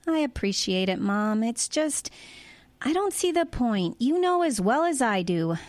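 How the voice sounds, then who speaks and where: Woman playing tired american daughter in her late 30s, slow, kinda high voice, skeptical and dry, audio play. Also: sing-song, no room sound. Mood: weary, discouraged. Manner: hesitant, dry, questioning. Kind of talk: audio drama acting.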